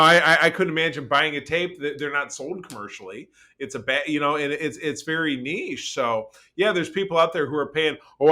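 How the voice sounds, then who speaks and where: A man's voice: fairly high pitch, bright, forceful tone. A man hosting a podcast in casual conversation, talking in an emphatic, rambling, opinionated way. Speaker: podcast host.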